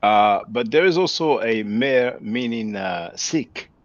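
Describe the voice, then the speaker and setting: A man in a casual talk, explaining slowly and measuredly, often trailing off softly. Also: mid-range voice, expressive, no echo. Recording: dry, muffled lo-fi audio.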